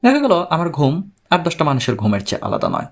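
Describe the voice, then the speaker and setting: A man playing a troubled, courteous man who gravely recounts his own strange story in an audiobook. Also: fairly high pitch, huge pitch swings, fast, heavily acted. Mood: grave, confiding.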